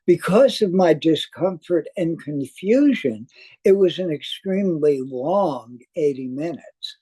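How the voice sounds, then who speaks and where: Older male theater critic, slow scripted read, soft mellow tone, slightly raised pitch. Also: animated, slightly rough. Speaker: theater reviewer. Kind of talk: scripted spoken review.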